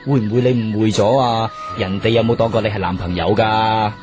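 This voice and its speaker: A man's voice: on the low side, steady tone, fairly quick, music in the background, natural voice. An actor playing a chatty, pushy but kindly street vendor in a radio drama, with a teasing, salesman-like way of talking. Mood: sympathetic. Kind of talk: Cantonese radio drama acting.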